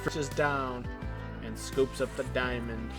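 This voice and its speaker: A man's voice: medium pitch, steady tone, takes it slowly. A man narrating scripted superhero action dramatically as the narrator of an audio drama. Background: music.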